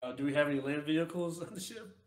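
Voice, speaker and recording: A man's voice: a little high, steady tone, fast. A man chatting casually as a player in a tabletop game. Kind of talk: casual chat.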